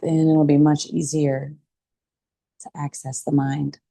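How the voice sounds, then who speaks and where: A woman teaching a guided meditation in a calm, soothing, unhurried way with pauses between phrases. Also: low voice, gravelly, no echo.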